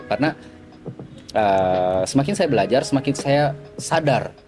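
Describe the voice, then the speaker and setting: Relaxed trading mentor with music behind. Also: male voice, mid-range voice, measured pace. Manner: relaxed, explains with everyday examples, mixes in English words. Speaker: forex trading mentor, guest. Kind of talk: casual podcast interview. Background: background music.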